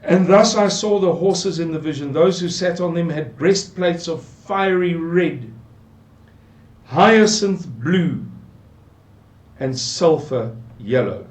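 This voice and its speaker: An older South African man with a South African English accent, slowly musing through a Bible passage and repeating words for emphasis. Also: a little high. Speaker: Bible teacher. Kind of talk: unhurried scripture commentary. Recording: echoey room.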